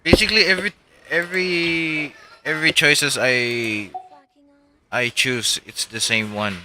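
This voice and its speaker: A man's voice: a little high, fairly even intonation, measured pace, sharp tone, a little hiss or hum, low-quality recording. A man livestreaming a game, giving casual commentary and short reactions as he plays. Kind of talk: casual live commentary while playing.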